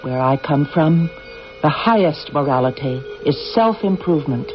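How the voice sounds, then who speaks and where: A man playing a calm, manipulative alien being, speaking in a measured, philosophical way in 1980s radio drama style. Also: fairly high pitch, close to an everyday voice. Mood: persuasive. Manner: measured, philosophical, coolly insistent. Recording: dull radio broadcast with music.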